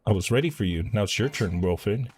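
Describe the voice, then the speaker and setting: A man's voice: on the low side, expressive, brisk, darkish tone, faint music behind. A man live streaming a video game, chatting casually and reading quest text aloud with some stumbles.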